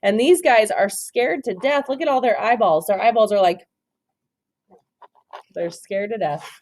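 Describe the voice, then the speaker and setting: A woman reading a children's picture book aloud as storyteller, expressive, with chatty asides that point things out and question her listeners. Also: medium pitch, measured pace, a little hiss or hum.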